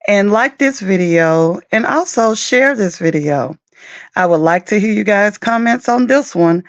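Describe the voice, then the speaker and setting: Woman, raspy morning voice, gravelly, chatty with fillers, dull lo-fi sound. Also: medium pitch, animated, measured pace, no echo. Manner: chatty, loose, with many fillers and asides. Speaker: commentary show host. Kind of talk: casual commentary on reality TV.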